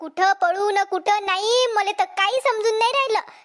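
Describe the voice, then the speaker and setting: Super high squeaky village farm wife, vidarbha accent, flustered n naggy, cartoon comedy. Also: female voice, moderate pace, fairly bright tone. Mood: panicked. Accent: Vidarbha Marathi. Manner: dramatic, complaining, repeats herself.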